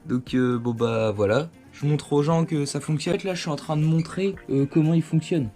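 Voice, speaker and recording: A man's voice: on the high side, moderate pace, warm tone, soft background music. A man giving a casual, hands-on product demonstration at home, explaining things in an informal, conversational way. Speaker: host of a motorcycle gear review channel. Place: indoors at home.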